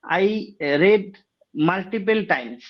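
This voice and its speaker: A man with a Bengali accent, a native Bengali speaker practising English, talking haltingly with many pauses on a video call. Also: high-pitched, animated. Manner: hesitant, many fillers and pauses. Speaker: English learner. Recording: dull lo-fi call audio.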